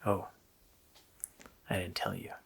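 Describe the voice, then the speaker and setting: A man voicing an evil, mocking child-snatching Christmas demon in a slow, menacing, breathy rasp for an ASMR roleplay. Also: fairly bright tone, slight background noise. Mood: threatening. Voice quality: breathy, gravelly rasping whisper.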